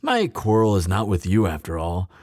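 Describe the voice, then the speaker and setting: A bitter, mocking male thief played by a man speaks in a close, dry recording. His delivery swings widely in pitch, sardonic and theatrical. It is a fantasy roleplay spoken straight to the listener, hardened by grief. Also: wildly swooping pitch, darkish tone, no echo, full character voice. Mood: mocking, controlled. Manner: sardonic, theatrical, deliberate pauses. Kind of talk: dramatic fantasy audio roleplay, addressed to listener.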